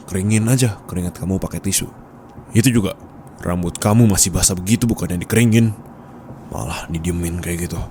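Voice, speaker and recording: A man's voice: low voice, lightly acted. A man playing a bossy, nagging office boss in an ASMR roleplay, scolding and teasing bluntly in colloquial speech with a Jakarta Indonesian accent. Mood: teasing, casual. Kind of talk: intimate ASMR roleplay acting. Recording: steady background ambience noise.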